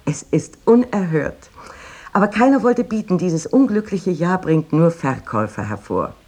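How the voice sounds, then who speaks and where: Proud, delighted lady of letters, husky. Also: lowish voice, animated, moderate pace, darkish tone. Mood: animated, satisfied. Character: proud, delighted 19th-century woman writer describing her new little house. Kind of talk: dramatized reading of a letter.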